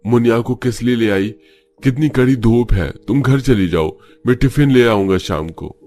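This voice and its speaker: A man's voice: mid-range voice, normal speed, darkish tone, soft background music, lightly acted. A man playing a poor, plain-spoken labourer and family man who talks earnestly in a moral story.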